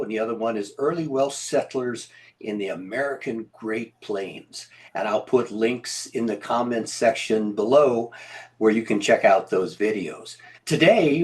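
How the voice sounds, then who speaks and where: A man hosting an interview, reading a friendly introduction and asking his guest questions. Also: medium pitch. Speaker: interview host.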